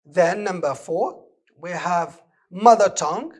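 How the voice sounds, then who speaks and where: A man from Morocco with a Moroccan accent, a native speaker of Moroccan Arabic, teaching an English lesson on television and explaining exercise answers step by step. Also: high voice. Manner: slow, deliberate, reads exercise items aloud and explains answers. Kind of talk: televised distance-learning lesson.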